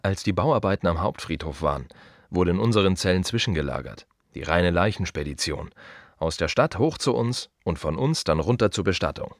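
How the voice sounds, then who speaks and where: A man's voice: low voice, animated, brisk, no room sound, studio quality. A man playing a world-weary, wry gravedigger in a radio play monologue, talking conversationally in short, emphatic, rhythmic repeated phrases. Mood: dry, reflective. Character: world-weary, wry male gravedigger musing on death and the city.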